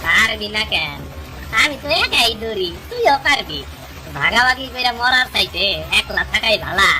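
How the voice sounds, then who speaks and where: A woman's voice: a little high, very animated, normal speed, low-quality recording. A woman voices a greedy, scheming cartoon fisherman. The delivery is insinuating and pushy, in a rural Bangladeshi Bengali accent, with music playing behind. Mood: goading. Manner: insinuating, goading. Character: sly, greedy fisherman goading a younger man. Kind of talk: cartoon story dialogue.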